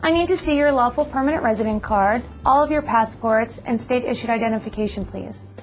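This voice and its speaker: The polite, high-voiced government officer a woman acting you would meet across an interview desk in an instructional film, working formally through procedure. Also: brisk, soft background music, close to an everyday voice. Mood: businesslike. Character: courteous, businesslike female immigration officer. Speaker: actor playing an immigration officer. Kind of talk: scripted educational dramatization.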